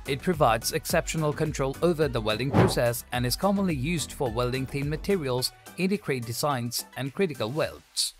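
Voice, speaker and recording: A man narrating a scripted explainer video in an even, polished delivery without fillers. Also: mid-range voice, moderate pace, few pauses, music in the background. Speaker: channel narrator.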